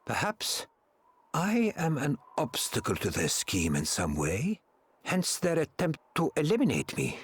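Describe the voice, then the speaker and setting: An actor playing a courteous, learned male adviser in a video game, husky-voiced, speaking in a formal, measured way. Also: on the high side, wildly swooping pitch, full character voice. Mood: grave, thoughtful. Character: learned, courteous male scholar and royal adviser held prisoner. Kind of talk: video game cutscene acting. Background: background music.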